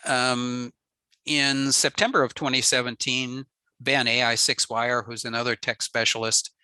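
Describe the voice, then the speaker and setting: A man giving a relaxed technical slide talk on a radio network, explaining with frequent ums and uhs. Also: mid-range voice, measured pace, clear, resonant tone, no room sound, rough recording. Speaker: ham radio presenter.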